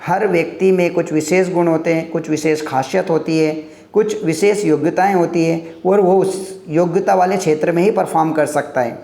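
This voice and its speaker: A man's voice: a little high, steady tone, normal speed, creaky, warm tone, roomy sound. A man giving an earnest motivational talk on career choices, stacking points in lists, as a teacher addressing his viewers. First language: Hindi.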